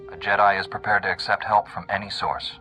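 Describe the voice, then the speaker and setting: A man's voice: fairly low pitch, little pitch movement, quick pace, bright, forceful tone, soft background music, rough recording. A man playing an earnest, steadfast young Jedi hero in a video game, composed and direct. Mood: calm, curious.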